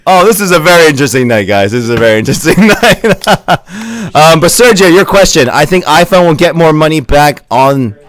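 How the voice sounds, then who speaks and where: A young man chats on his live-stream podcast in a lively voice that swings freely up and down. He reads out viewer comments and laughs between them. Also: fairly high pitch, a little fast, hardly any pauses. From Pennsylvania, USA. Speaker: podcast host. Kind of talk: casual live-stream chat.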